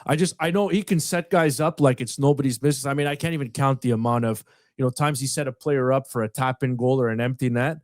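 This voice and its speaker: A man's voice: medium pitch, fast, no echo, clean studio sound. A man hosting a podcast, chatting casually and enthusiastically, asking long, rambling questions. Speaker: podcast host.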